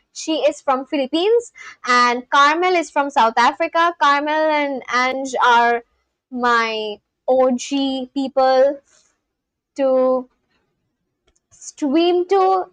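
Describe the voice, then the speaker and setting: A woman's voice: high voice, a bit bright, no echo, poor audio. A woman from India with an Indian accent hosting a live stream, chatting casually with viewers, laughing often and talking straight to her chat. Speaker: livestream host.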